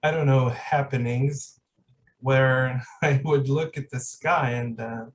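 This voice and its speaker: A man's voice: mid-range voice, fairly even intonation, slow, distant mic, slight background noise. A man hosting a podcast in casual, reflective conversation, hesitant and searching for his words. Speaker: podcast host.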